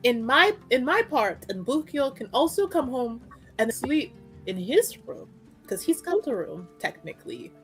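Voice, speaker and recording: A woman roleplaying a kind, proper young noblewoman with a gentle, explaining manner in a tabletop fantasy game. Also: high-pitched, very animated, measured pace, soft background music. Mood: helpful.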